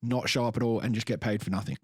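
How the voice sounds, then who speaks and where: A man's voice: lowish voice, fairly even intonation, very fast, breathy edge, no room sound, clean studio sound. A young Australian man, a coach and podcast co-host, telling stories casually with lots of swearing. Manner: chatty, sweary storyteller, laughs often.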